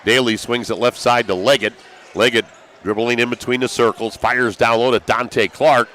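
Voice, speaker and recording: A man's voice: medium pitch, steady tone, moderate pace, a bit bright. A man calling live basketball play-by-play as a sports broadcaster. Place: high school basketball gym. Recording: crowd and gym noise behind. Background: gymnasium crowd, bouncing basketball.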